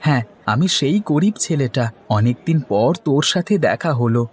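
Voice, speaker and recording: A man's voice: mid-range voice, expressive, normal speed, slight background noise, close to an everyday voice. Man voicing an eager, swooping cartoon village friend. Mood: friendly, inviting. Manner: effusive, insistent. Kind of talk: Bengali cartoon story dialogue.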